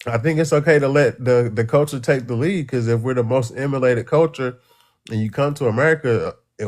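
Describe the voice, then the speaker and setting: A man calling in with his opinion, earnest and halting, full of restarts and fillers. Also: mid-range voice. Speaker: caller. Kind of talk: casual opinion on a call.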